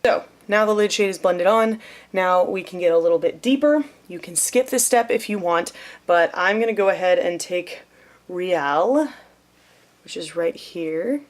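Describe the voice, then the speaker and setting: A woman's voice: on the low side, measured pace. A young woman talking through a makeup tutorial in a chatty, playful way, sometimes dropping to a near whisper as she works. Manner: chatty, playful, self-deprecating. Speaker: beauty vlogger.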